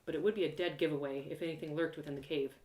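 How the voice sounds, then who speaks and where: A woman narrating a first-person story in an animated, theatrical way, with dramatic pauses. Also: sits low, roomy sound, low-quality recording. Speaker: storyteller performing an audio drama live.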